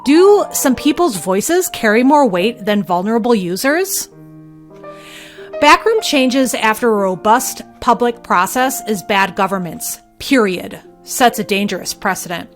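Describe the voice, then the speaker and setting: A woman's voice: fairly high pitch, lively intonation, a little slow, soft background music. A woman guest on a talk show, playful and joking in the way she speaks. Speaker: guest, local journalist. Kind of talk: casual chat with dramatic reading of public comments.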